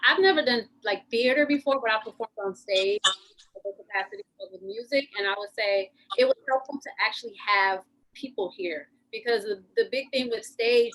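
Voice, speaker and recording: A woman's voice: a little high, fairly bright tone, rough recording. A woman actor talking informally and reflectively in a question and answer session on a video call. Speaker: actor in an acting class.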